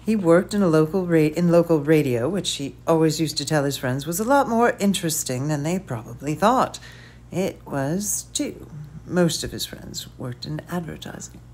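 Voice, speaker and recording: Woman narrator, lowish voice, measured storybook reading, soft trailing phrase ends. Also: animated. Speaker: audiobook reader. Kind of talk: scripted narration.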